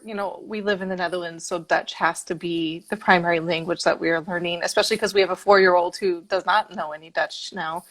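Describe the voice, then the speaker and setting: A woman's voice: medium pitch, rough recording. A woman in her thirties from Los Angeles, hosting a casual interview over a video call and laughing easily. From Los Angeles, USA. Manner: friendly, laughs easily, relaxed fillers. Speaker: interview host.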